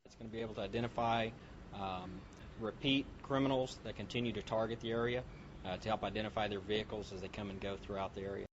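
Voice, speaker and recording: A man speaking haltingly in an interview soundbite, as a police spokesman would. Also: on the low side, fairly even intonation. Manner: halting, hesitant. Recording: dull sound with clear vehicle noise.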